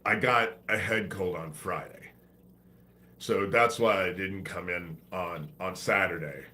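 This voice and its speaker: A man's voice: deep voice, a little slow, sharp tone. A man hosting a live stream while sick with a congested head cold, talking in a loose, rambling, profane way. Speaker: livestream host. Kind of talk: rambling casual livestream talk.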